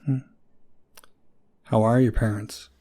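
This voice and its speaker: An actor playing an earnest, awkward therapist, speaking hesitantly and clinically with a slightly husky voice in an audio drama. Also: male voice, fairly low pitch, little pitch movement, slow, mellow. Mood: probing, measured. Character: earnest, awkward therapist, gently probing and easily flustered. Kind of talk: naturalistic audio drama dialogue.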